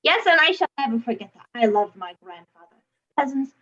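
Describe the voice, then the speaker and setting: A woman voicing a pompous, whiny, self-adoring male Tsar in a comic puppet-show skit, with huffy throat-clearing. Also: high-pitched, big pitch swings, a little fast, bright, forceful tone, heavily acted. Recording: dull, choppy lo-fi video call audio.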